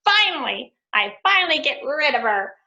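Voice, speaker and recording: A woman's voice: sits high, big pitch swings, moderate pace, sharp tone, pitched above the performer's own voice, full character voice. A woman voicing a wicked queen disguised as an old peddler woman, sly and wheedling. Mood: gloating. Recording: dull lo-fi recording.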